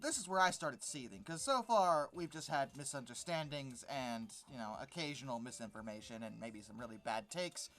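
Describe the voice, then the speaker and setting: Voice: mid-range voice, expressive, normal speed, few pauses, a bit bright, faint music behind. A video host giving informal, exasperated and sarcastic commentary on an article, stressing words emphatically.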